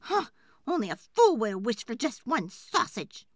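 Woman acting a shrill, scolding storybook wife. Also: very animated, no room sound, clean studio sound. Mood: scolding, outraged. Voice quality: shrill, high-pitched. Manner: scolding, emphatic, drawn-out words. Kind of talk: storybook character voice.

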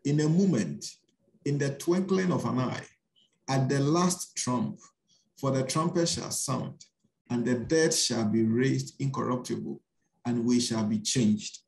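A man slowly reading a scripture passage aloud as a participant on a video call, far from the mic. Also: medium pitch, gravelly, a little mellow, roomy sound, slight background noise. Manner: slow, measured reading aloud.

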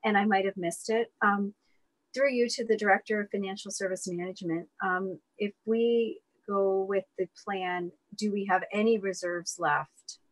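A woman's voice: mid-range voice, fairly even intonation, moderate pace, no room sound, low-quality recording. A woman councillor politely asking city staff a question at a council meeting. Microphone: video call.

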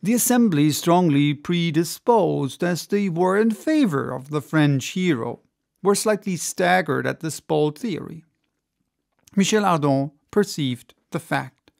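A middle-aged man reading a storybook expressively, with measured, deliberate pauses, close to a studio microphone. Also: on the high side, lively intonation, gravelly, a little mellow, no room sound. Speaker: audiobook reader. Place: indoor studio with dark backdrop. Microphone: close studio microphone on desk.

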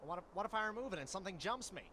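A man's voice: sits high, lively intonation, rapid-fire, pushed and bright, slight background noise. A man voicing a nervous, whiny, foul-mouthed animal scavenger in a cartoon game, hesitant and exasperated. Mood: nervous, reluctant.

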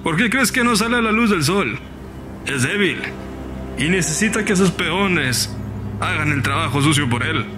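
A man's voice: on the high side, animated, moderate pace, background noise, lightly acted. A man playing a smug, taunting mercenary gunslinger in a parody anime dub. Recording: music behind the voice.

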